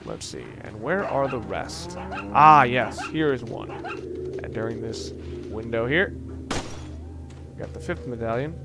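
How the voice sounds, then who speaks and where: A man giving casual, halting gameplay commentary as a let's play host, rambling and thinking aloud as he plays. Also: mid-range voice, lively intonation, over music.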